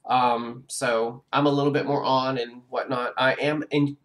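A man's voice: medium pitch, flat delivery, a little slow, a bit bright. Congested man chatting over gameplay. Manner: rambling, many ums and restarts. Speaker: gaming streamer. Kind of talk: casual live-stream chat over gameplay. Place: home room with a webcam.

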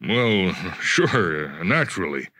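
A man acts out a senior corporate boss from an audio story, genial but evasive. He speaks in a hesitant, patronizing way with a bright, forceful edge, in a dry recording. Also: low voice, big pitch swings, takes it slowly, no room sound, exaggerated voice. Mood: uneasy, genial.

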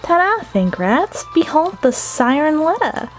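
A shrill, grandiose villainess from a video game acted out by a woman declaims theatrically in a homemade comic dub. The voice is high and manic, full of boasting. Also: sing-song, unhurried, faint music behind, poor audio. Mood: shrieking, triumphant.

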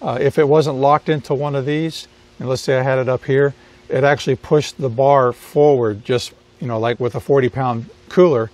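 A man's voice: mid-range voice, moderate pace. Middle-aged man explaining gear outdoors. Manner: relaxed and explanatory, with frequent fillers and restarts. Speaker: product reviewer. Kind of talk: casual product review to camera. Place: outdoors beside a car in a yard.

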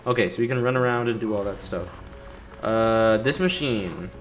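A man's voice: on the low side, normal speed. A man giving casual let's play commentary as a gaming video host, griping with impatient sarcasm. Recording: faint game music behind.

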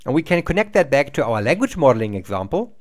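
A man's voice: medium pitch, big pitch swings, fast. A middle-aged man giving a recorded university lecture over slides, explaining step by step and often restarting words.

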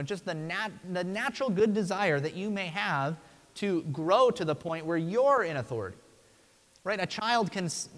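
Pastor, male, 30s; relaxed sermon from the pulpit, higher voice, long sanctuary reverb. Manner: conversational, frequent tag "right?". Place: church sanctuary.

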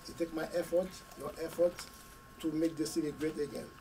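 Middle-aged man, hoarse from a cold, earnest podium speech, short paused phrases, heard from a distance. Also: a little high, steady tone. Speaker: school committee member. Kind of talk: community meeting speech. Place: community meeting room. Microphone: podium mic, camera at a distance. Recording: distant mic with faint room noise.

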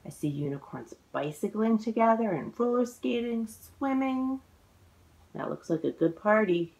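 A woman in her forties reading a children's picture book aloud, expressive and exclaiming, drawing out words for young listeners. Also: fairly high pitch, measured pace, creaky, rough recording. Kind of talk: animated children's read-aloud storytelling. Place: living room at home, indoors.

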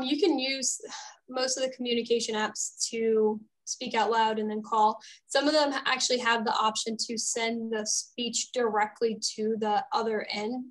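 A woman's voice: on the high side, poor audio. A young woman answering questions informally as a specialist on a webinar panel over a video call. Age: young adult. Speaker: speech-language specialist on a panel. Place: small office, indoors.

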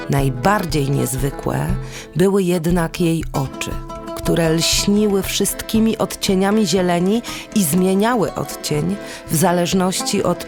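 Low, creaky-voiced woman storyteller. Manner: deliberate, suspenseful pauses. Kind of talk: dramatic folk-tale narration. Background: music.

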